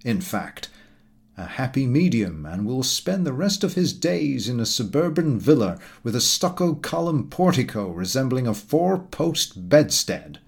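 A man's voice: mid-range voice, sing-song, a little slow, vocal fry. A man voicing a pompous, opinionated American guest who lectures a dinner table in grand declamatory style, in a storybook reading. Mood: scornful oratory. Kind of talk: storybook character dialogue.

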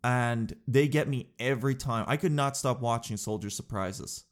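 A man's voice: mid-range voice, normal speed. A man talks casually to his camera, his nose stuffed up from crying. He rambles in a chatty, self-mocking way and keeps tossing in "dude.". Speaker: vlogger.

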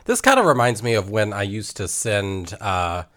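A man's voice: on the low side, wildly swooping pitch, normal speed, a bit bright, dry recording, clean studio sound. A man chatting casually as a podcast co-host, playful and mock-indignant. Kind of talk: casual chat, reacting.

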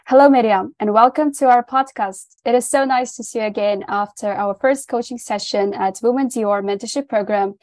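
A woman's voice: on the high side, normal speed, hardly any pauses, a little mellow, no echo. A woman hosting a podcast, reading guest introductions and asking questions in a polite, upbeat way. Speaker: podcast host. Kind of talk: scripted intro and interview questions.

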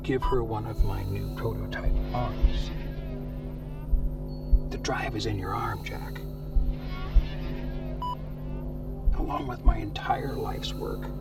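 A man's voice: on the low side, fairly even intonation, unhurried, far from the mic, loud music behind the voice. A man acting a solemn, dying older surgeon-inventor in an audio drama, his speech laboured and breaking into whispers. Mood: grave, confiding.

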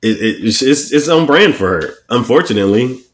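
A man co-hosting a casual chat, blunt and profane, talking with animated swings. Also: mid-range voice, brisk. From Washington DC area. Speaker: podcast co-host.

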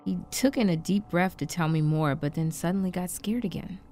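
A woman playing a shaken, compassionate wife in an audio drama, with halting, stammering, hushed delivery. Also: lowish voice, a little hiss or hum, close to an everyday voice. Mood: uneasy recounting. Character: shaken, compassionate wife trapped in frozen time. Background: background music.

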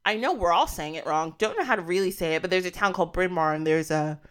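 A woman's voice: lowish voice, fairly bright tone, smooth. A woman hosting a casual podcast chat, bubbly and talkative, laughing easily and filling her talk with "like.". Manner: bubbly and chatty, quick with laughter and many "like" fillers. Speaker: podcast host.

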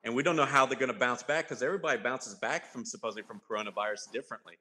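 A man's voice: medium pitch, racing, bright, forceful tone. A man hosting a casual sports podcast, conversational and putting questions to the others. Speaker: podcast host.

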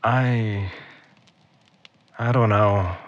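A guarded young man as a male actor plays him in an audio drama, stubborn and practical. His voice is low and unhurried, with an understated, hesitant delivery. The recording is very dry. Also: no room sound, muffled recording. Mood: shaken, uneasy. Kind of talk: naturalistic audio drama acting.

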